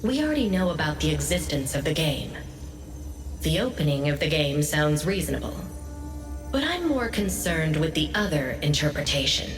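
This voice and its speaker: A woman playing a composed intelligence analyst in a video game, giving briefings in a measured, serious, informative way. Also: low-pitched, sing-song. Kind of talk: scripted game dialogue. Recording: echoey hall, distant, faint music behind.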